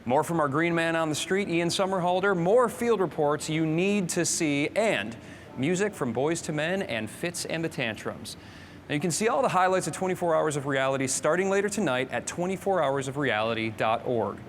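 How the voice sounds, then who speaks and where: A man's voice: fairly high pitch, animated, normal speed, a little hiss or hum. A man presenting a live show, reading scripted links in a polished, upbeat way. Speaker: host.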